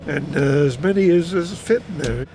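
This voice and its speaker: A man in his eighties from Hungary, a native German speaker, recalls his life in a halting, repetitive, plain-spoken way, as in an oral history interview. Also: fairly high pitch, creaky. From Hungary, German-speaking household. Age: 80s. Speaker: retired teacher recounting his life. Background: music under the voice.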